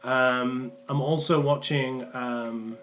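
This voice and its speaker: A man's voice: mid-range voice, steady tone, slow, a bit bright, a little hiss or hum, low-quality recording. A young man from the Manchester area with a Mancunian accent that comes and goes, chatting loosely on a live stream with many ums and restarts. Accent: Mancunian, partly worn off. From England, Manchester area. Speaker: livestream host answering chat. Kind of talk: casual live stream chat. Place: small room indoors.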